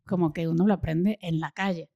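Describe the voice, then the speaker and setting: A Venezuelan woman in her thirties, talking enthusiastically as an interview guest and often pausing with fillers and repeated words. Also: lowish voice, steady tone, vocal fry, dark tone, no room sound, lo-fi sound. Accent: Venezuelan Spanish. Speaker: guest, social impact consultant. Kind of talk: casual interview conversation.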